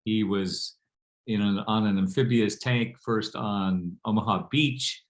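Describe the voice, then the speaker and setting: Casting: older man, lowish register, reflective and halting, speaking on an online panel. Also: steady tone. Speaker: panel guest.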